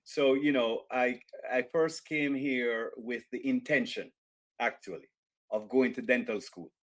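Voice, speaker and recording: A middle-aged man with a Jamaican accent, answering interview questions in a measured, reflective way, often pausing with fillers and restarts. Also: mid-range voice. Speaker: interview guest, author.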